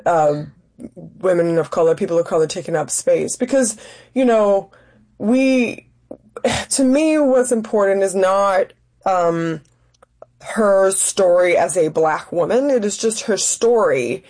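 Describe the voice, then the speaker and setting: Mellow-voiced woman actress being interviewed. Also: mid-range voice, lively intonation. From Denmark. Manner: thoughtful, measured, searching for words. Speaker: actress and writer, interview guest. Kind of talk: interview answers.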